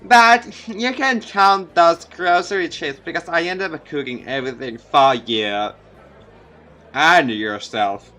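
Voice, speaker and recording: A man plays an awkward teenage boy from a visual novel. He is hesitant and wry, with a bright voice and slow delivery. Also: on the high side.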